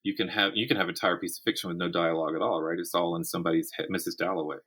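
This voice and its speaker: Male interview guest, writer type, very low voice, explanatory, works out thoughts aloud. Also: steady tone, very fast, fairly bright tone, husky. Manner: explanatory, thinks aloud with self-corrections. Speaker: guest author and audio drama writer. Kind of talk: conversational interview about the writing craft.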